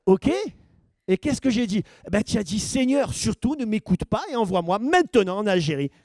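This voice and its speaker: A man's voice: high voice, animated, normal speed, darkish tone, no echo. A man preaching as pastor, questioning his congregation and building to emphatic points. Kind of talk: sermon teaching.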